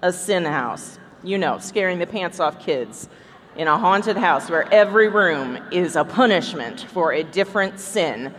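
A woman's voice: lowish voice, animated, measured pace, a bit bright. A woman playing a dry, sarcastic, skeptical church-group lady in a live comedy sketch on stage. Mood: reminiscing. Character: Gladys, dry, skeptical longtime church-ladies' group member. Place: convention stage in a large hall. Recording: echoey hall, live stage, background noise.

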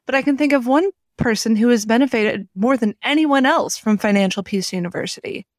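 A woman with a fairly high voice chats as a co-host. Her phrasing is measured and affirming, in a casual conversational style. The recording has very little room sound. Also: no echo, studio quality. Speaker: podcast co-host.

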